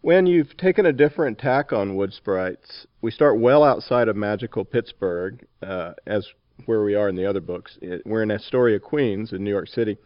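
A man's voice: fairly low pitch, moderate pace, dry recording, lo-fi sound. A man hosting a podcast interview, introducing and questioning a guest. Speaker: podcast host.

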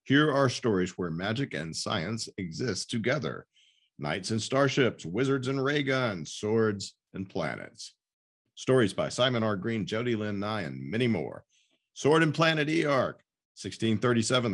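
A man's voice: lowish voice, lively intonation, measured pace, fairly bright tone. A man hosting a podcast, moving between scripted announcements and casual interview talk. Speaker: podcast host.

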